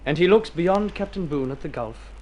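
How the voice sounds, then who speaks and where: A man narrating an old-time radio drama in a formal, measured, literary storytelling style, with dramatic delivery. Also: a little high, expressive, darkish tone. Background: music under the voice.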